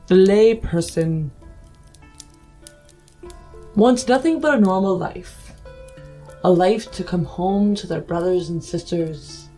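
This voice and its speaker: A woman playing an aloof, self-assured figure of influence in a roleplayed audio drama, speaking formally with thoughtful pauses. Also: on the low side, a little mellow. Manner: measured, formal, pausing for thought. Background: music.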